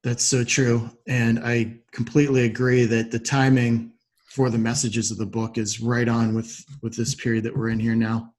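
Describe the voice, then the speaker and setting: Affirming man hosting, mid-pitched voice. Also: level tone, moderate pace, a little mellow. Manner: affirming, thoughtful. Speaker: podcast host. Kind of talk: conversational interviewing.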